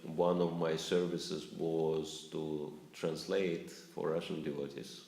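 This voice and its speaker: Male spiritual teacher addressing a live audience; deep voice, asks questions, echoey lecture room. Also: flat delivery, takes it slowly, a little mellow. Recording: roomy echo.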